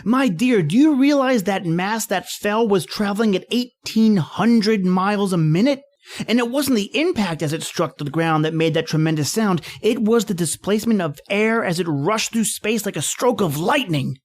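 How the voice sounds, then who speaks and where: A woman's voice: medium pitch, normal speed, close to an everyday voice. A woman acting an eager, dismissive amateur astronomer husband in an old-time radio horror drama, lecturing emphatically. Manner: emphatic, lecturing, repeats words when excited.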